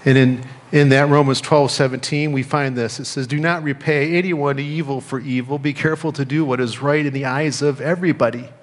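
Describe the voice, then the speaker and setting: A middle-aged American man preaching a sermon as a pastor, speaking in measured phrases with pauses and repetition. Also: medium pitch, fairly even intonation. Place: church sanctuary, indoors at a lectern. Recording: reverberant church hall.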